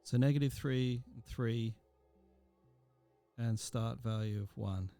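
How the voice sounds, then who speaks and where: A man giving an informal software tutorial as a teacher, explaining each step as he goes. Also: medium pitch, takes it slowly, gravelly, dry recording, soft background music.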